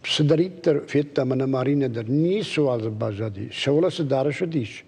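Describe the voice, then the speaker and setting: A man's voice: medium pitch, moderate pace, a little mellow, husky, big-room sound. A man being interviewed, giving a plain conversational account in short phrases. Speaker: interview guest.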